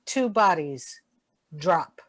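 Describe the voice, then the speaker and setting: A woman's voice: on the low side, animated, very slow. A woman reading sound-effect cues aloud in a radio play performance. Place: home room, live video call performance.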